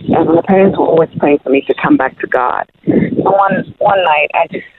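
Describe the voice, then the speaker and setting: A woman's voice: lowish voice, normal speed, slight background noise. A woman from Zimbabwe, a singer-songwriter guest, answering interview questions with many hesitations. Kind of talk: casual interview. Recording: tinny phone-like line.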